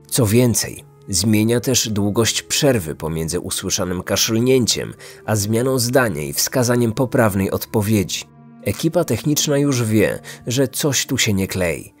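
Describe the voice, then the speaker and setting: A man in his thirties narrating a scripted true-crime story as a podcast host. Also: fairly low pitch. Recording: music under the voice.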